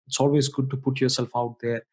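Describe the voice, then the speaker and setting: Polite, husky-voiced male interviewer. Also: medium pitch, little pitch movement, fairly quick, a little mellow. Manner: polite and formal, repeats praise. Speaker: interview host. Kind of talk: podcast interview.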